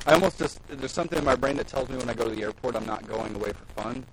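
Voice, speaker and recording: A man's voice: mid-range voice, very fast, background noise. A man co-hosting a podcast, chatting casually with friends and joking around. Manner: joking, swears freely. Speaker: podcast co-host. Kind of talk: casual chat.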